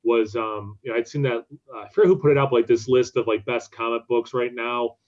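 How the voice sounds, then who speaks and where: A man in his thirties in relaxed podcast conversation, speaking from home on a video call. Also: mid-range voice, brisk. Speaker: podcast co-host. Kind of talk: casual chat. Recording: slightly dull lo-fi call audio.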